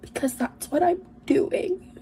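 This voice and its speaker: Slow-talking, mellow woman in her thirties. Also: extremely high pitch, a little hiss or hum. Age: 30s. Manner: halting, self-reflective. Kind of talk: personal confessional vlog.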